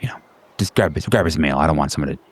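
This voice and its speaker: A man's voice: very deep voice, racing, slightly rough. A man playing an awkward, worried suburban neighbor in his thirties in a tabletop game, hesitant and rambling. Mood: nervous, worried.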